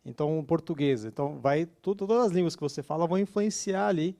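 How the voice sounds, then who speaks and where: Mellow, creaky-voiced Brazilian man. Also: a little high, fast. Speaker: co-teacher. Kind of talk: conversational lesson commentary.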